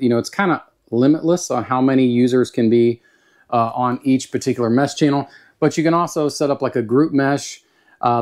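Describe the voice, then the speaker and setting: A man's voice: medium pitch, a little mellow. Folksy Southern American man reviewing gear. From Southern United States. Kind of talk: casual product review monologue.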